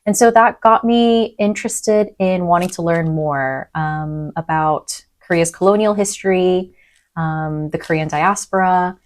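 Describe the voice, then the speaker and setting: A young adult woman lecturer who grew up in East Africa, speaking thoughtfully in a podcast interview with frequent pauses and "um"s. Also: fairly low pitch. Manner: thoughtful, soft-spoken, many "um"s. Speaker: university lecturer.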